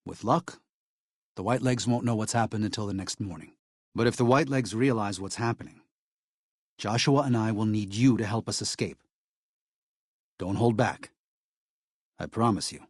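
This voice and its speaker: An actor playing a gentle, devout male missionary in a video game, speaking in an earnest, soft-spoken, hesitant way with worry for his flock. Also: fairly low pitch, dry recording, clean studio sound, close to an everyday voice. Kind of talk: video game character dialogue.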